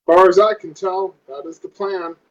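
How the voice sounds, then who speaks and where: A man's voice: high-pitched, steady tone, normal speed. A man playing a tabletop game, thinking aloud hesitantly as he plans in casual chat. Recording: dull lo-fi voice recording.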